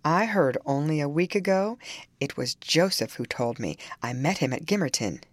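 A woman's voice: sits low, lively intonation, moderate pace, no echo. Woman playing a sensible, cautioning female servant. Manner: admonishing, reasoning. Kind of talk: dramatised audiobook reading of a classic novel.

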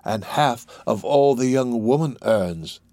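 Man putting on a slow, sly, wheedling old crook. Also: medium pitch, lively intonation, dark tone, no echo, clean studio sound. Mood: sly, coaxing. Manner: slow, drawn-out, ingratiating. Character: sly, wheedling older male criminal fence.

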